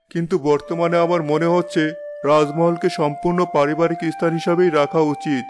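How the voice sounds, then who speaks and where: A man playing a kindly, dignified king in an animated fairy tale, speaking in a formal, courteous way. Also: fairly high pitch, natural voice. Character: kindly, dignified king, brotherly toward his minister.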